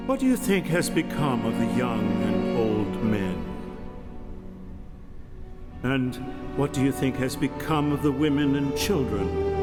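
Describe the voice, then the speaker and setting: A man performing a solemn poet of radio drama, musing on death and grass. The delivery is very slow and declamatory, ringing in a long hall reverb with loud music all around. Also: medium pitch. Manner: measured, declamatory.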